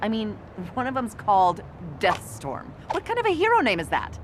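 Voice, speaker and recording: A woman voicing a confident, skeptical star reporter in an animated video game, wry and self-assured. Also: a little high, normal speed. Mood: confident, suspicious. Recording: vehicle and ambient noise behind.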